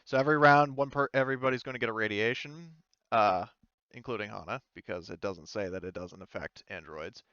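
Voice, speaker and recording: A man running a tabletop roleplaying game as game master, talking casually and haltingly as he works out the rules aloud. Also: on the low side, sharp tone, smooth, no echo, highs cut off. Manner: halting, thinking aloud while working out rules.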